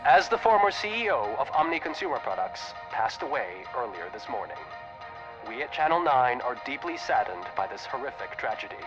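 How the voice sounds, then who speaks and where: Muffled television sound with clear music behind it carries a glib news anchor , played by a man. He reads with polished, theatrical swings in pitch, as in a video game newscast. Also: very animated, a little fast, close to an everyday voice. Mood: solemn. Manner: polished broadcast delivery, theatrical. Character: glib male TV news anchor, solemn then chirpy.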